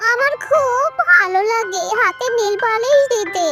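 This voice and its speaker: A performer voicing an excitable young fox girl in a children's cartoon, wheedling in a sing-song way. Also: very high voice, a little slow. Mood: pleading. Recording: loud children's music behind. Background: loud tinkly children's music.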